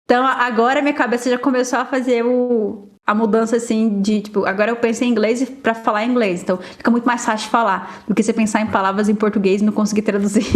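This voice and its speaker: Chatty Brazilian woman, slightly high voice. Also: fast. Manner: chatty, many fillers, quotes others in lively asides. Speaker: guest software engineer. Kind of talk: casual podcast conversation.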